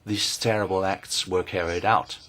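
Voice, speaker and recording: A man giving measured, formal testimony to a committee, pausing often and hesitating between phrases. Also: sits low, steady tone, a bit bright. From Norway. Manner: measured, deliberate, with frequent pauses and hesitations. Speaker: invited speaker at a parliamentary hearing.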